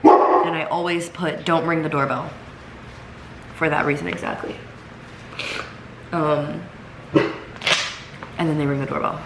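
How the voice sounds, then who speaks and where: Congested low-voiced woman chatting at home. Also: steady tone, measured pace, long pauses. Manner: chatty, filler-heavy, talks straight to viewers. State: congested, recovering from illness. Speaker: vlogger. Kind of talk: casual chatty vlog. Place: home kitchen, then seated at home. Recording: echoey room with noticeable background noise.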